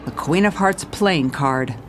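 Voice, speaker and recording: A woman's voice: sits low, slow pace, slight background noise. An actress playing a determined, world-weary female police commissioner, narrating a noir audio drama in a hard-boiled, dramatic manner. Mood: weary, brooding.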